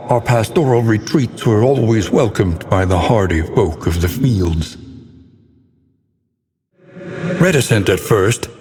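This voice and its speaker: An actor playing a grave, brooding, scholarly older male narrator in a gothic video game, speaking with solemn, theatrical, measured delivery and literary diction. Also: lowish voice, darkish tone, in a large hall. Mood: wistful, solemn.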